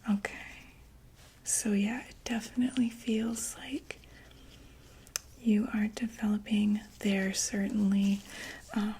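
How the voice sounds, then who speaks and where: A woman whispering softly in a breathy ASMR medical roleplay, gently and tentatively talking through each step as a doctor. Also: mid-range voice, flat delivery, takes it slowly, long pauses, slight background noise, poor audio. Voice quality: breathy, mostly unvoiced whisper.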